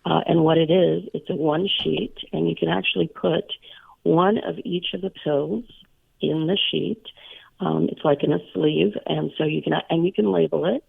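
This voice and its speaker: A hoarse, raspy-voiced woman co-hosting a radio show, chatting casually with many fillers. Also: low voice, steady tone, moderate pace. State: hoarse, recovering from illness. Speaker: radio co-host. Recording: tinny phone line.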